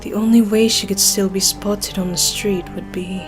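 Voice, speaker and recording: A woman's voice: medium pitch, fairly even intonation, normal speed, music in the background, lightly acted. An actress playing a resolute, grieving Victorian woman in an audio drama, speaking formally and with determination. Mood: insistent.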